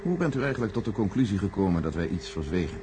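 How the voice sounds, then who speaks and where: A man playing a measured, secretive senior official in a science-fiction radio play, formal, deliberate and condescending. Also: low-pitched, big pitch swings. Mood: grave, defensive. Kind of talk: radio drama acting. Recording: noisy old radio recording with hum.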